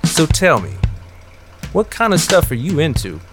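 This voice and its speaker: An actor playing a pushy, excitable conspiracy-minded truck driver in an audio drama, firing eager, prodding questions. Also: male voice, a little high, moderate pace, over music.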